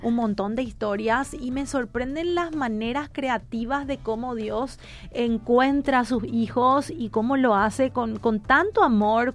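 A woman hosting a radio interview, asking questions in an effusive, welcoming way. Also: on the high side. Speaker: radio host.

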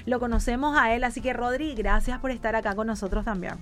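A female radio host with a very high voice guides the interview with effusive, welcoming questions. She speaks expressively and at length as she introduces her guests. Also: fairly even intonation, fast talker, a bit bright, soft background music.

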